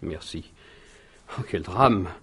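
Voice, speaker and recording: An actor playing a loyal older manservant in a French radio drama, formal, deferential and hesitant. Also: male voice, deep voice, animated, slow pace, fairly bright tone, breathy edge, heavily acted. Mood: shaken, confiding. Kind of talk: 1950s-style French radio detective drama acting.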